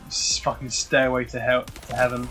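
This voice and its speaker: A young man streaming a game and chatting casually. He is excitable, swears freely and often restarts his sentences. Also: normal speed, slight background noise. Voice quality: fairly high-pitched voice. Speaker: gaming streamer. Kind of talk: casual chat while gaming.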